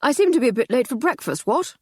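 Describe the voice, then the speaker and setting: A man's voice: very high voice, sing-song, quick pace, breathy edge, dry recording, clean studio sound, voice pushed up, heavily acted. A woman voicing a breezy, pompous upper-class army captain in an audiobook. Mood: blustering. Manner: pompous, blustering.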